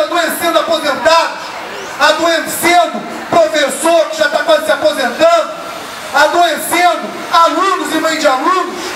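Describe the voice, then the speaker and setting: A young man with a very high, strained voice shouts an accusatory rally speech into a handheld microphone. His voice booms through a loudspeaker and is picked up from far off, echoing around an open street. Crowd chatter and traffic noise sit underneath. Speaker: protest speaker. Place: outdoor street protest in front of city hall. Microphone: handheld microphone through loudspeaker, filmed from a distance.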